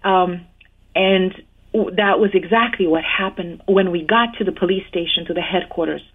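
A woman guest recounting her own story in an interview, speaking in an earnest, measured way. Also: lowish voice, breathy edge. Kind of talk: personal testimony in an interview. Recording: tinny phone-line sound.

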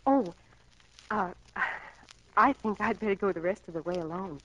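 A woman's voice: mid-range voice, lively intonation, normal speed, a bit bright. An actress playing a coy, sweet, sheltered young woman in 1940s radio drama style. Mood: shy, smitten. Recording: dull 1940s radio recording, audience noise.

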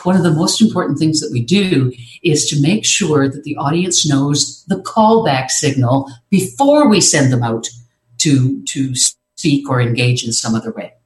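Speaking coach, instructive talk, measured, explanatory, middling pitch, echoey room. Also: animated. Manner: measured, explanatory, occasional hesitations. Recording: roomy echo.

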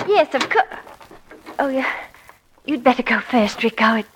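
A woman's voice: a little high, expressive, moderate pace, fairly bright tone. An actress playing a genteel, hesitant young woman dancer in a 1940s radio drama. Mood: nervous, uneasy. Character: polite, nervous young woman ballet dancer. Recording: faintly noisy 1940s radio recording.

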